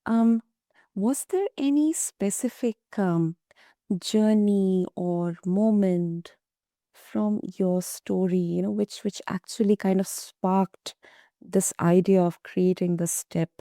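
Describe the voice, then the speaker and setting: A woman's voice: medium pitch, takes it slowly, no room sound, studio quality. A woman hosting an interview, asking long questions with hesitations and frequent restarts. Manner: hesitant, many fillers and restarts, warm praise. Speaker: podcast host.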